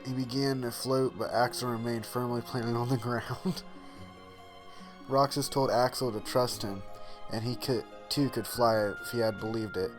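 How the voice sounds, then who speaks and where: A man hosting a casual reaction video, exclaiming briefly and reading on-screen text aloud haltingly. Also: medium pitch, steady tone, music in the background.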